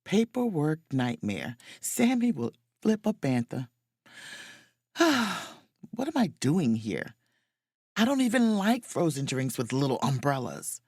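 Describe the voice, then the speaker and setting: A woman's voice: low voice, sing-song, takes it slowly, a little mellow, slightly rough, no echo, studio quality, exaggerated voice. A woman playing a wry, awkward, overworked starship chief mate on vacation in a romantic comedy audio drama, with muttered, self-interrupting asides. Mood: wry, exasperated.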